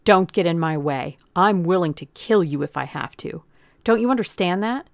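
Woman playing a desperate, defiant gunman, gravelly. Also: on the low side, animated, a little fast, no echo, studio quality. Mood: enraged. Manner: blunt, forceful, threatening. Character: desperate, defiant armed man pushed to breaking point. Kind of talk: audiobook character acting.

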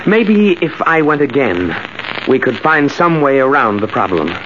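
An actor playing a respectful, puzzled young research assistant in a 1950s radio drama, hesitant and deferential. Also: male voice, medium pitch, very animated, unhurried. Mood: wondering. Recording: muffled, crackly old radio recording.

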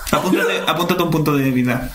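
Game master in an echoey room. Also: male voice, on the high side, a little fast. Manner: calm descriptive narration, second person. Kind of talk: narrating a tabletop role-playing game. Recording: echoey room with clear noise.

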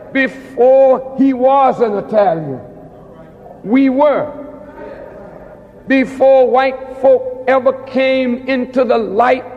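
A man giving a public lecture like a preacher, deliberate and emphatic, with repeated phrases and rhetorical questions. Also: very high voice. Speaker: preacher and orator. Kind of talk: public lecture, oratory to an audience. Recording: dull, reverberant hall recording.

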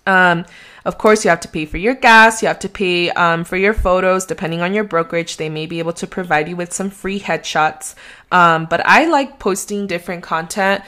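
A woman's voice: lowish voice, normal speed, pushed and bright. A young adult woman with a slight Mexican accent, a real estate agent giving rambling, conversational advice on her solo podcast.

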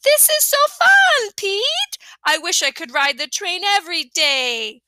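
A woman voicing an excitable, pushy little boy in a storybook reading. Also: high voice, normal speed, no room sound, heavily acted. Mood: excited. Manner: eager, wheedling.

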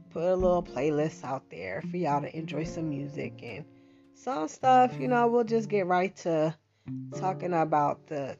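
Woman, podcast host, slow easy delivery, slangy chat, dull lo-fi sound, guitar music behind. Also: medium pitch. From Bahamas-born, raised in Philadelphia. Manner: chatty, slangy, lots of fillers and asides. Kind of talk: casual monologue.

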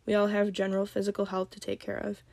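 A young woman with a slight cold hosting a solo podcast, talking calmly and gently. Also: medium pitch, quick pace, creaky, no room sound. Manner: calm, gentle, reflective. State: has a cold. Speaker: podcast host. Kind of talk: solo podcast talk on mental health.

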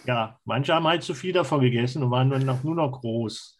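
A man's voice: medium pitch, brisk. A man chatting casually as a podcast panelist. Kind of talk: casual chat.

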